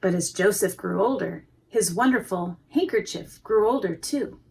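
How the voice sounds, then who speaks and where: A woman reading a picture book aloud to young children, expressively, pausing to comment on the pictures. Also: mid-range voice, creaky, a little mellow, breathy edge. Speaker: preschool educator reading a storybook. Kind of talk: read-aloud storytime with asides to young children.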